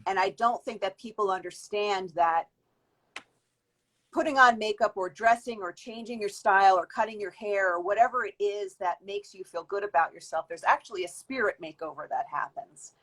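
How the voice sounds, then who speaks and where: A woman in her fifties answers as an interview guest, thinking aloud in long, flowing sentences. She circles back and restarts often. Her voice sits in an ordinary middle pitch. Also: moderate pace, fairly bright tone. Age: 50s. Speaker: guest, beauty industry worker.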